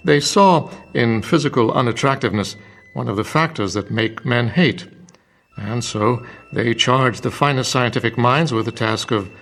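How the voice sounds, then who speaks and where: A man's voice: medium pitch, normal speed, a bit bright, close to an everyday voice. Actor as paternalistic male state psychiatrist, radio drama: authoritative, insistent, formal, medium register. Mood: persuasive. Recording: music bed under voice.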